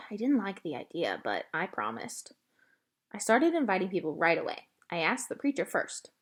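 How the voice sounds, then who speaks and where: A woman narrating a children's book aloud in an expressive, scripted storytelling style. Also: lowish voice, moderate pace.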